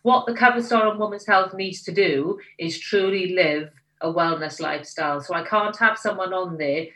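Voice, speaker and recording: Bright-voiced Welsh woman, candid and emphatic. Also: lowish voice, normal speed, low-quality recording. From Wales. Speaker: magazine editor, guest. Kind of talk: podcast interview.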